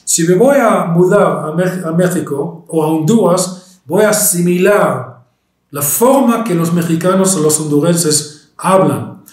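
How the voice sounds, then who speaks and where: An Israeli historian with a Spanish accent picked up in Argentina, lecturing and pausing often with fillers and restarts. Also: male voice, sits high, lively intonation, darkish tone, echoey room. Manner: hesitant, many fillers and restarts.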